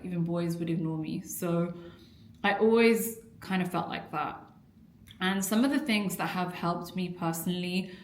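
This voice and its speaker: A young adult woman from an Iraqi family, a weight-loss coach talking candidly and earnestly to camera in a personal vlog. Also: fairly low pitch. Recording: echoey room.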